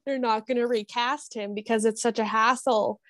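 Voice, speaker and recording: A woman's voice: on the high side, fairly even intonation, normal speed, fairly bright tone, dry recording. A woman talking casually as a panelist in a podcast discussion. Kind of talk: casual chat.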